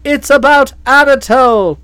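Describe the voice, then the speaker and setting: A woman reader voicing an excitable, forceful older aunt who talks loudly and emphatically. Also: sits high, moderate pace, smooth, no echo. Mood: agitated. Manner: loud, emphatic, impatient.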